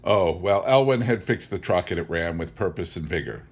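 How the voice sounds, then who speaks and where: A man narrating a humorous memoir as an audiobook, with wry, measured storytelling. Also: deep voice, animated, slightly rough. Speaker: audiobook reader.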